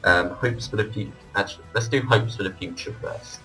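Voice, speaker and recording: A man's voice: on the low side, a little fast, sharp tone, faint music behind, low-quality recording. A man hosting a casual fan discussion, with hesitant, hedging phrasing. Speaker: podcast host. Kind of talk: casual fan discussion of audio drama releases.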